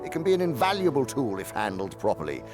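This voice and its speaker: An actor playing a sly, condescending older demon uncle who lectures pompously and theatrically in a radio drama. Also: male voice, medium pitch, huge pitch swings, fast talker, over music. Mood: lecturing.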